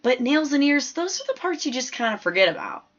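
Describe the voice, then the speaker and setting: A woman's voice: high voice, very animated, a little fast. A woman voicing a plain-spoken, observant fourth-grade girl who tells her own story in a chatty, folksy, emphatic way. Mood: earnest, self-conscious. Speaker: audiobook narrator. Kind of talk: scripted first-person story narration. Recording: slightly dull, lo-fi audio.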